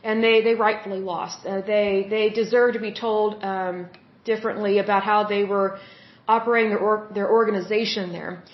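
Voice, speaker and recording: A woman's voice: medium pitch, steady tone, normal speed, echoey room, muffled recording. A woman hosting a solo podcast, chatting with strong opinions and plenty of fillers, while losing her voice. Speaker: podcast host.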